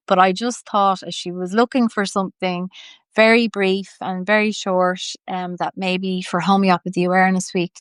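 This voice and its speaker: A woman's voice: mid-range voice, clear, resonant tone, dry recording, clean studio sound. A woman with a Dublin Irish accent, a homeopath telling her personal story in an earnest, reflective way. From Ireland.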